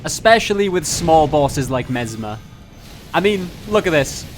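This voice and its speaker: A man's voice: on the high side, big pitch swings, measured pace. Joking male gamer over music. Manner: profane, joking. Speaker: gaming video host. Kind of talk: gameplay narration mixing mock-epic roleplay and casual jokes.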